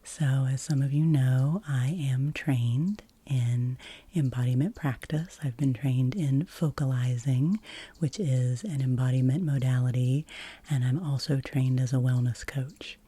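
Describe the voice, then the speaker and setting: A very low-pitched woman speaks in a breathy, whispered ASMR style. Her delivery is slow and soothing, with crisp, very bright sibilance. She gently guides the listener through relaxation. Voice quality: breathy, whispery soft-spoken.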